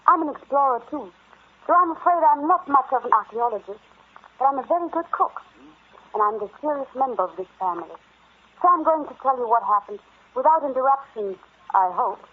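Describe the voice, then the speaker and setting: An actress in an old-time radio drama playing a serious, frightened explorer's wife, speaking in an earnest, pleading, dramatic way. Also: female voice, sits high, animated, brisk, breathy edge. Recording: tinny, phone-like vintage radio recording.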